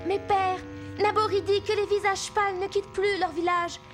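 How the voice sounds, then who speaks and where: Very high-voiced, earnest young princess. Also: female voice, little pitch movement, normal speed, fairly bright tone. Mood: earnest pleading. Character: young native princess, gentle, earnest chief's daughter. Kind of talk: French animated film dub. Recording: faint music under the voice.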